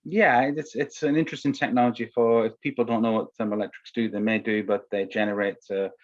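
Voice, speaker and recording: A man's voice: on the low side, fairly even intonation, brisk. A man chairing an online workshop, polite and hesitant, often restarting his phrases. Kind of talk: moderating an online Q&A. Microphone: video call. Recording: dull lo-fi video call.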